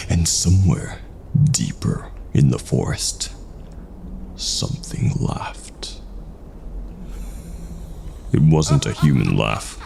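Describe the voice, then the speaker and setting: A man narrating a scripted first-person horror story in a hushed, ominous, deliberate manner. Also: very deep voice, gravelly.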